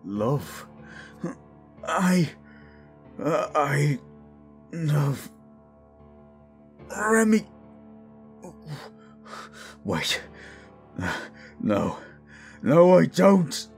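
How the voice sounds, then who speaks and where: A man's voice: fairly high pitch, big pitch swings, extremely slow, vocal fry, long pauses, faint music behind, full character voice. A man playing a dazed captive in pain, straining to resist mind control in a fantasy audio drama.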